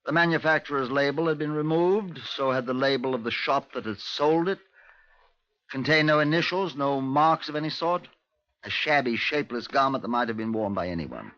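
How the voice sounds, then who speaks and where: Actor as clipped, forceful British police inspector. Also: male voice, mid-range voice, lively intonation, no echo, natural voice. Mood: matter-of-fact. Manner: clipped, formal, measured police-report delivery. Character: brisk, methodical male Scotland Yard inspector. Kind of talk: 1950s radio drama acting, narration and dialogue. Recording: dull, lo-fi 1950s radio recording.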